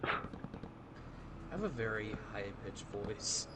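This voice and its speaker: A man's voice: on the low side, sing-song, slow pace, a bit bright. A man chatting while gaming with a friend, joking crudely and swearing. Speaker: gaming partner. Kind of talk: casual gaming chat. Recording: clear background noise.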